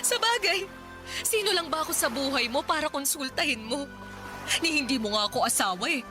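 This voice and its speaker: A very high-pitched Filipino woman, worn out and bitter toward her partner. She speaks with confrontational, wounded emotion in radio melodrama style, over clearly audible music. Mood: sarcastic, weary. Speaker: radio drama actress. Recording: dramatic background music.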